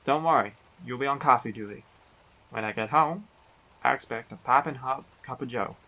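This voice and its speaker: A man playing a big, teasing, affectionate biker werewolf boyfriend in an intimate roleplay audio, talking in an unhurried, colloquial way. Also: mid-range voice, pushed and bright. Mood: affectionate, light.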